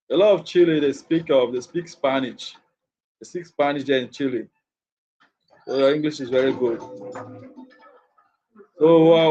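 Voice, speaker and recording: A man with a Nigerian accent hosts a relaxed livestream chat in a voice pitched a bit high. He says things again and again as he talks to viewers. The sound is muffled and lo-fi. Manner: repeats his phrases over and over, chatty. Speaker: livestream host.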